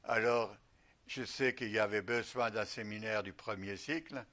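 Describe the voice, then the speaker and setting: An older man from Alsace, a retired missionary bishop, recalling his life slowly with many pauses. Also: fairly low pitch, fairly even intonation, pushed and bright. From Alsace, France. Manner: slow, halting recollection with many pauses. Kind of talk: reminiscing interview.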